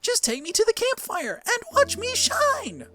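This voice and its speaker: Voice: very high voice, wildly swooping pitch, measured pace, bright, forceful tone, soft background music, pitched above the performer's own voice, full character voice. A performer voicing a small, eager, boastful young fire lizard creature from a video game, with a chirpy, cocky manner.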